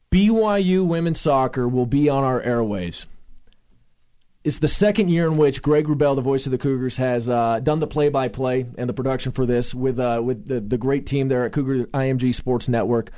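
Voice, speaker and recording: A man's voice: mid-range voice, normal speed, warm tone. A man hosting a sports radio show, talking energetically with many fillers and restarts. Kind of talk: casual sports radio talk. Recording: lo-fi radio studio sound.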